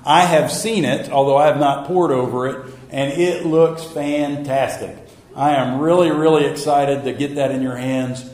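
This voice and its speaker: A middle-aged man, a pastor giving a casual Wednesday night church talk, slightly hoarse, folksy and self-deprecating with many fillers. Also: medium pitch, fairly even intonation, moderate pace, darkish tone. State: a little hoarse. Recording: roomy echo.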